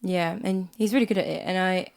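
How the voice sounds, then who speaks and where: A woman in her twenties from Switzerland with a slight Swiss accent, co-hosting a casual podcast chat at home, a little croaky from a cold and quick to laugh. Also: fairly low pitch, steady tone. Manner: chatty, warm, laughs often. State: hoarse from a cold. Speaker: podcast co-host. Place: small music room at home.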